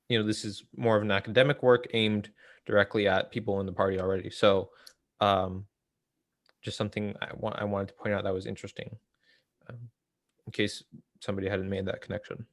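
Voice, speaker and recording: A man with a fairly low voice leads a casual book discussion. He explains haltingly, thinking aloud as he goes. The sound is dry, with very little room to it. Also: fairly bright tone, no echo. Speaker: host of a political book read-along.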